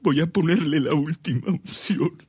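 A man's voice: fairly high pitch, normal speed, a little mellow, slight background noise, natural voice. A man acting a solemn, grieving priest in a radio drama, with a ceremonial delivery. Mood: solemn, resigned. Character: solemn grieving priest at his dying brother's bedside.

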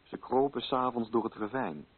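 An actor playing a sober literary narrator, telling a story in scripted radio drama style. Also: male voice, mid-range voice, animated, brisk, breathy edge. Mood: sober, solemn. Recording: muffled old radio recording.

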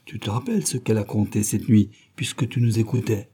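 A man's voice: on the low side, expressive, fairly quick, warm tone, breathy edge. A man voicing a wild, cruel little robber girl who talks in a fierce, teasing, bossy way.

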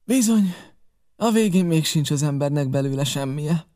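A sly, boastful old pirate cook, talking up his fortune in a wheedling, flattering tone, as a male reader puts him on. The voice sits a bit higher than the reader's own. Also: lightly acted. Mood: sly boasting. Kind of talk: audiobook character reading.

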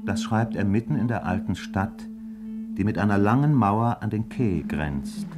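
A man narrating a 1950s German radio play as a contemplative storyteller, measured and literary, pausing between phrases. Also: lowish voice, over music, close to an everyday voice. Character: measured, contemplative storyteller describing an old harbour town.

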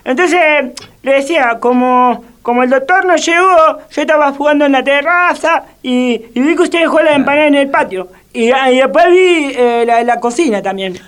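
A man's voice: extremely high pitch, moderate pace, fairly bright tone. A man playing a sly, hungry young boy with an Argentine accent, stammering excuses in a comedic radio play. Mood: sheepish, evasive. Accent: Argentine Rioplatense. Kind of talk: comedic radio theatre acting.

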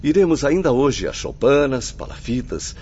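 A man's voice: medium pitch, very animated, normal speed, mellow, lightly acted. A man playing an earnest, kindly spirit mentor in a radio drama, with solemn, declamatory delivery. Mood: earnest, uplifting. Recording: muffled, lightly noisy radio play audio.

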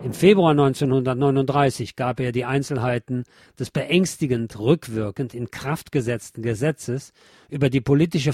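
A man reading scripted historical documentary narration in a formal, measured manner. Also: mid-range voice, a little mellow, no room sound, clean studio sound. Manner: formal, measured read delivery.

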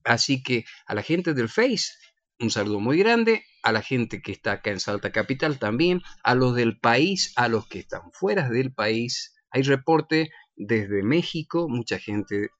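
A man's voice: mid-range voice, no echo. An Argentine man presenting a radio show in a conversational way, with playful, teasing banter. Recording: dull, lo-fi radio recording.

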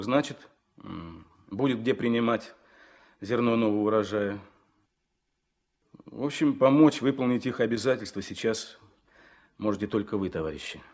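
An actor playing an earnest young Komsomol organiser in a Soviet radio play, speaking haltingly but persuasively. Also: male voice, medium pitch, a little mellow, lightly acted. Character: earnest, hesitant young Komsomol organiser pleading his cause. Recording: vintage 1970s mono radio recording.

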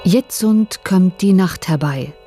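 A woman's voice: fairly low pitch, animated, slow pace. A woman making a scripted title announcement for radio. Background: music.

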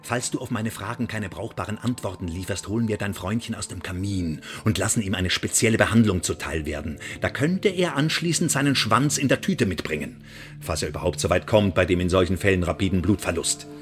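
Actor as low-voiced, sardonic interrogator. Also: male voice, close to an everyday voice. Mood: threatening, demanding. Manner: sardonic, mock-polite, threatening, articulate. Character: menacing, sardonic male secret-service interrogator, smugly courteous. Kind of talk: radio drama acting.

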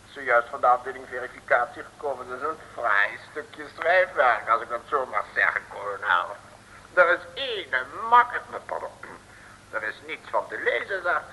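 An actor playing a silly, fussy naval officer, nonchalant and whiny, in a comic old radio serial. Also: male voice, mid-range voice, expressive, moderate pace, pushed and bright, slight background noise. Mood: self-satisfied. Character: silly, fussy male naval desk officer, breezily unhelpful. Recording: old radio recording, long reverb.

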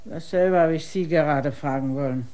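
An actress playing a sharp-tongued female village innkeeper in a radio drama, with a cutting, scolding way of talking. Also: sits low, moderate pace, close to an everyday voice.

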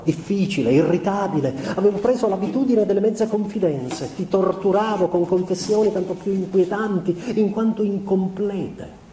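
An actor playing a melancholy husband confessing to his wife in a theatrical monologue, speaking in a measured, solemn way with deliberate pauses, recorded roomy and from a distance. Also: sits high, warm tone, natural voice. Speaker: stage actor. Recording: roomy, distant mic, faint background noise.

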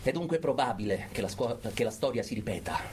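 An actor playing a fanatical political orator in a theatrical radio monologue, emphatic and full of dramatic pauses. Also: male voice, medium pitch, big pitch swings, a little mellow, a little hiss or hum, lightly acted. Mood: grave, insistent. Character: fanatical male political orator urging brutal repression.

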